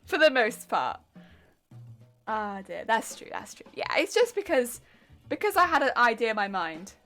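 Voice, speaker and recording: Young English woman streamer, casual and self-critical, fillers and laughs, higher pitch. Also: big pitch swings, normal speed, fairly bright tone, soft background music. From England. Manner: chatty, self-critical, answers chat questions, frequent fillers and laughs. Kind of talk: casual live-stream chat while sewing.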